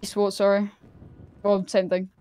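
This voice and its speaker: A woman's voice: medium pitch, completely flat delivery, unhurried, dark tone, slight background noise. A woman chatting casually as a player in a police roleplay game. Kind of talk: casual in-game radio chat.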